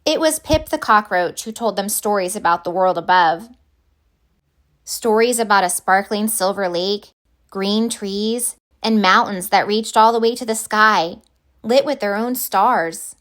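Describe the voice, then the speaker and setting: A woman reading a children's picture book aloud as a storyteller, with deliberate, expressive phrasing. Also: medium pitch.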